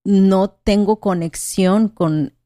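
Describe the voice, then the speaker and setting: Slow, thoughtful Mexican-born woman. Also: mid-range voice, mellow, dry recording, studio quality. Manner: thoughtful, hesitant, with frequent "¿no?" tags. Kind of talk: casual conversational chat.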